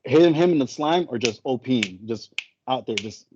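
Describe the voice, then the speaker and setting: A man's voice: mid-range voice, animated, moderate pace, muffled recording, background noise. A man who speaks English as a later language, chatting casually as a podcast co-host and retelling a story in a rambling way, often correcting himself. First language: not English.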